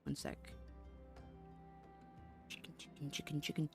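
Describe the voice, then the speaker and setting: A woman streaming a game, chatting casually in a playful, offhand, muttering way. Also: measured pace. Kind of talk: casual live stream chat. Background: game music.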